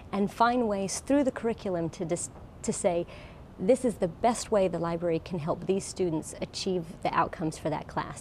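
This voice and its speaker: Woman, lowish mellow voice, informative interview style, college librarian, faint background rumble.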